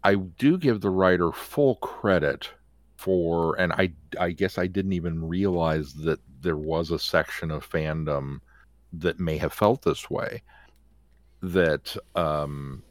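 Deep-voiced man in halting, opinionated chat. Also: no room sound, clean studio sound. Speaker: podcast co-host. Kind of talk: casual fan discussion.

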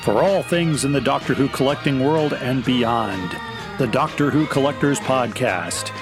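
A man's voice: medium pitch, measured pace. A middle-aged or older man, a podcast host, reading a scripted promo for his show. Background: guitar music.

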